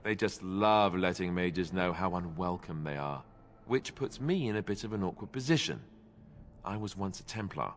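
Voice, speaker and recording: An actor voicing a wry, glib young warrior in a fantasy video game, full of sarcasm. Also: male voice, low-pitched, expressive, moderate pace, in a large hall. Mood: candid. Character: wry, joking young warrior, former templar.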